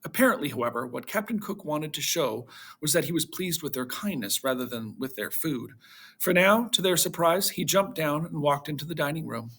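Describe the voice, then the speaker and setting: A man narrates a children's storybook as a read-aloud for pupils. His pitch is a little high and swings widely, and his voice has a husky, creaky grain. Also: animated, normal speed, a bit bright. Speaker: story reader for schoolchildren.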